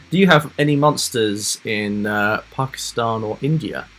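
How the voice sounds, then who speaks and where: A man hosting a casual live stream, chatting loosely to his viewers with lots of fillers, rambling asides and soft laughs. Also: fairly low pitch, slow. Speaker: reaction livestream host.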